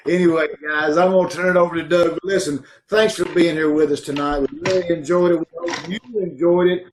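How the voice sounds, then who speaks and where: A man's voice: fairly high pitch, steady tone, brisk, noisy background. A man with a slightly raised, easy speaking voice wraps up a live show over a video call from home. He talks loosely and chattily, laughing along as he goes. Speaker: show host introducing the cast. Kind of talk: casual live sign-off.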